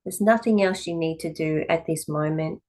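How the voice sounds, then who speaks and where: Low-pitched woman guiding a yoga class. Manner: calm, measured, with pauses between short phrases. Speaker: yoga teacher. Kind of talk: guided meditation instruction.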